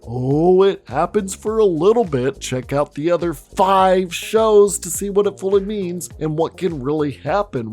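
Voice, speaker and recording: Hoarse male host, raspy and blunt, mid-pitched, delivering sardonic commentary over light music. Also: a little mellow. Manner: blunt, sardonic, swears for emphasis. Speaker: video essay host. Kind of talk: scripted commentary.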